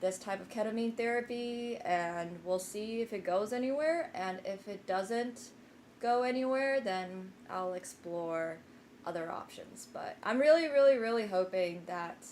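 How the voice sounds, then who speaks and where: A young woman talks casually to her stream viewers at an ordinary middle pitch. She rambles, restarts her sentences and fills gaps with "like" and "um". A faint ambient hum sits under the voice. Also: unhurried, a little mellow. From Finland. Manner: rambling, many fillers and restarts, self-deprecating.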